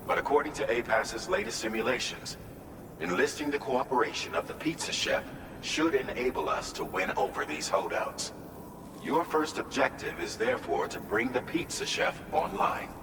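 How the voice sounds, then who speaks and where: Composed game mission controller, deep voice, measured formal phrasing, distant in a reverberant hall, noisy ambience. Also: male voice. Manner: measured, articulate, formal, polite. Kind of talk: scripted video game briefing.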